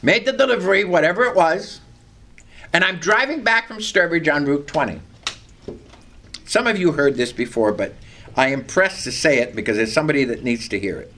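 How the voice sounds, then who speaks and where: An older man preaching a sermon with a loud, carrying voice, reading scripture and telling a personal story with animation. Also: fairly high pitch, expressive, normal speed, slight background noise.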